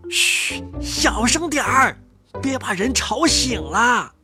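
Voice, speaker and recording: A narrator voicing a nervous male thief in a storybook reading, hushed and anxious. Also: medium pitch, wildly swooping pitch, a little slow, pitched above the performer's own voice. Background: soft music.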